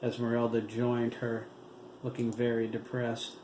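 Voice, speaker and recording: A man reading a novel aloud as its narrator, at a slow, steady, even pace. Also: mid-range voice. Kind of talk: audiobook narration. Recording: roomy room with steady hiss.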